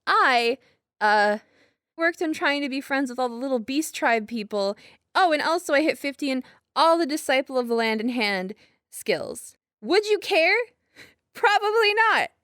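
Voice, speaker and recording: A young woman with a fairly high voice speaks in a dry, close recording. She plays a girlfriend in an intimate, casual monologue. Her delivery rambles, giggly and self-deprecating, with a hesitant edge. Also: lively intonation, measured pace, fairly bright tone, no room sound. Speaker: audio roleplay performer as girlfriend.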